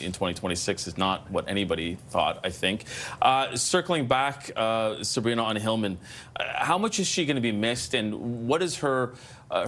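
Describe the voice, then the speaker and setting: A man's voice: lowish voice, a bit bright, slight background noise. A man hosting a TV political panel, joking and playfully cutting in on his guests. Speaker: panel host.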